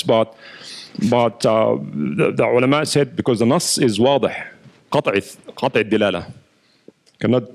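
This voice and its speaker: A man teaching as an imam, talking at a mid pitch and working through his points with patient explanations. He often repeats small words while he gathers his thoughts. He speaks as a lecturer taking questions from a room. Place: mosque lecture hall.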